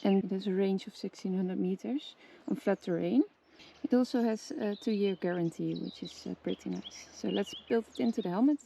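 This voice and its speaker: A woman presenting a casual hands-on tutorial, giving simple step-by-step instructions with hesitant pauses. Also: medium pitch, warm tone. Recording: clearly noisy background with clicks.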